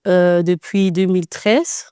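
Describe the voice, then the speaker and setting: A woman's voice: fairly low pitch, fairly even intonation, slow, smooth, dry recording, clean studio sound. A woman in her twenties with a Dutch accent, speaking French as a guest in a casual interview, hesitant with many fillers. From Netherlands. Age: 20s. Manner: hesitant, many "euh" fillers, soft laughs. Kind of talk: casual interview about faith.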